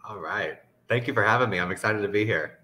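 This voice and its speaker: A man speaking as an interview guest, giving an informal, explanatory sales pitch in a conversational way. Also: quick pace, pushed and bright. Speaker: interview guest, resort business development manager.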